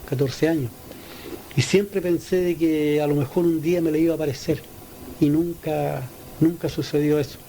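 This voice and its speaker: A man giving an informal spoken account of a personal experience as an interviewee, halting and repeating his words. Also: on the high side, mellow. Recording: noisy ambient field interview recording.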